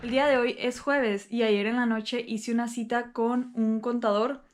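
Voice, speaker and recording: A woman's voice: on the high side, steady tone, moderate pace, slight background noise. A young woman with a Mexican accent, telling stories from her week in a chatty, colloquial vlog style. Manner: chatty, colloquial, acts out reported dialogue. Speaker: vlogger. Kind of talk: casual vlog storytelling.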